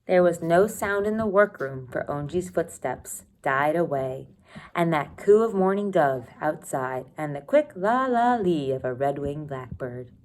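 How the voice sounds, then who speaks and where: Slow, low-voiced woman reading a story aloud. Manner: expressive reading, pausing to comment and think aloud. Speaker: teacher reading a children's novel aloud. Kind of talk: read-aloud storytelling with asides to students.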